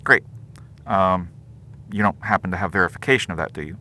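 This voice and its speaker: An actor playing a brisk, suspicious investigator in an audio drama, businesslike and probing. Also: low-pitched, pushed and bright, slight background noise.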